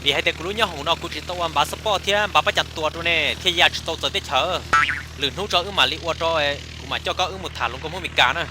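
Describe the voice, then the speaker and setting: A man's voice: on the high side, fast, music in the background, lightly acted. A man voicing a talkative male character in a comic cartoon. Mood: explaining. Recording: clear background noise.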